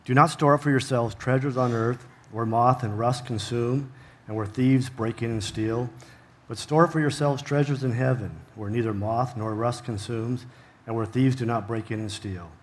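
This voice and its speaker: A man's voice: mid-range voice, steady tone, normal speed. A man reading scripture aloud as a volunteer reader.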